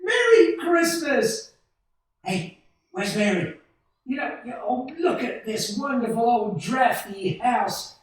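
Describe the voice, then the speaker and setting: A woman's voice: on the high side, expressive, slow pace. A woman acting in a live radio play as an elated, loving husband and father, exclaiming effusively and repeating words. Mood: giddy joy. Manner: exclamatory, effusive, breathless repetitions. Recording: echoey hall, distant mic.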